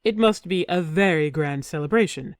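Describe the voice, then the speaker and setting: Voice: mid-range voice, normal speed, no room sound, clean studio sound, natural voice. A storyteller voicing a young male hero posing as a king, speaking firmly and a little stiffly. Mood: persuasive. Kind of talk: storybook reading.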